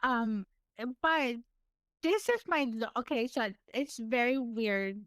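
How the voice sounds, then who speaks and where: A woman from California with a naturally high-pitched voice and a slight lisp, talking in a casual interview, her words effortful and a little slurred, with frequent laughs. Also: slow, clean tone, no room sound, clean studio sound. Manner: animated, laughs often, pushes extra hard on words. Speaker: podcast guest.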